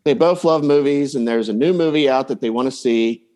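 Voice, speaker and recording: A man's voice: medium pitch, brisk, darkish tone. A man speaking as a coach and podcast guest, giving advice in a casual, anecdotal way. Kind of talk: casual advice chat, telling client anecdotes.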